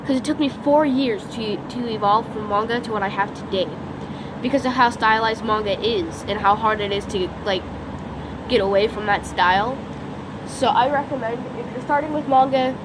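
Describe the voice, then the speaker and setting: A teenage girl with a stuffy nose and sore throat, rambling unscripted in a casual vlog, filled with "like", filmed outdoors on a phone. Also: on the high side. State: congested, sniffly, sore throat. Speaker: vlogger. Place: outdoors next to a lake, during a lightning storm. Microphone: phone camera. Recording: outdoor phone recording with background noise. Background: outdoor background noise, thunder.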